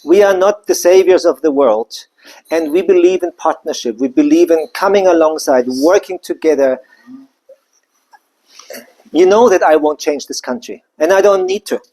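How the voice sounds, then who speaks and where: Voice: mid-range voice, normal speed, dark tone, low-quality recording. A speaker from Germany, giving a live business presentation in a loose, conversational way with many side remarks. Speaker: company presenter.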